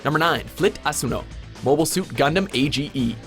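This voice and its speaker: Fast-talking man narrating a countdown. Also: fairly high pitch, animated. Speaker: narrator of a top ten countdown. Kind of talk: scripted narration. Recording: music behind the voice. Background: background music.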